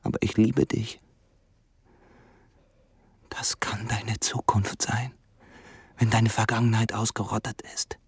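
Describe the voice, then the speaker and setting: A husky, brooding, jealous suitor. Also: male voice, on the low side, level tone, heavily acted. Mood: possessive, angry. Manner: intense, insistent, measured literary delivery. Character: poor, jealous, brooding suitor with a menacing, possessive love. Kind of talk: literary German radio drama acting.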